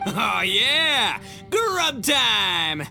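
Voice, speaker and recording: A man playing a boisterous, good-hearted but dim young gang leader in a video game, loud and slangy. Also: sits high, sing-song, drawn-out words, gravelly, exaggerated voice. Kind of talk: video game cutscene acting. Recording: loud game music behind.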